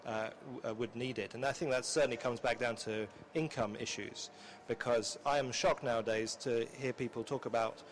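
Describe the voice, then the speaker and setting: A man moderating a panel discussion in a hesitant, wordy and measured way. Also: mid-range voice, steady tone, a little hiss or hum. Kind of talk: semi-formal panel discussion.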